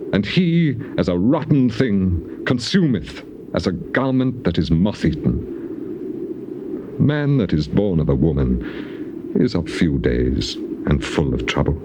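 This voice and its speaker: An anguished, righteous sufferer from an archaic scripture drama. His low voice rises and falls dramatically as he declaims with passion and heavy pauses. The old cassette recording sounds dull and muffled. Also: noisy background. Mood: pleading, then mournful, resigned. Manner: declamatory, impassioned, with weighty pauses. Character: suffering, defiant righteous man pleading with God.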